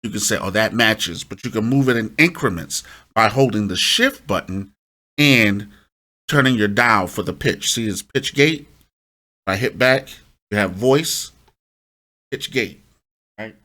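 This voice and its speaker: A man's voice: fairly low pitch, animated, unhurried, pauses often, bright, forceful tone. A man hosting an informal step-by-step tutorial, instructing and often checking in with short tag questions. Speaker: host of a music production tutorial.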